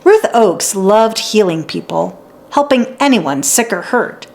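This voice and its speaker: A woman narrating a children's story in an expressive read-aloud style for young listeners. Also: mid-range voice, slow pace, vocal fry. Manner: acts out dialogue and sounds out letters.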